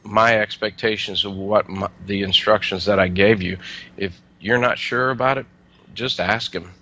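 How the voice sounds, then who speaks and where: A man giving casual, plain-spoken explanations as an interview guest, with somewhat halting delivery. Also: on the low side, fairly even intonation, sharp tone, highs cut off. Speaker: air traffic controller, interview guest.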